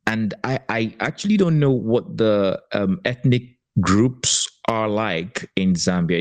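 A man hosting a podcast interview, deliberate and curious in a conversational style. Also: on the low side, a little mellow.

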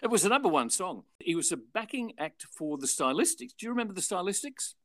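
An older Australian man, a former radio and television presenter, telling anecdotes in a relaxed interview and acting out the people he quotes. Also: high-pitched, fast, dry recording. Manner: chatty, animated retelling with voiced quotes.

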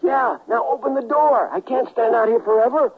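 An actor playing an earnest, anxious writer caught up in intrigue in a western radio drama. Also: male voice, extremely high pitch, normal speed. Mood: urgent. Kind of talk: 1970s radio drama acting. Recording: tinny, hissy 1979 radio recording.

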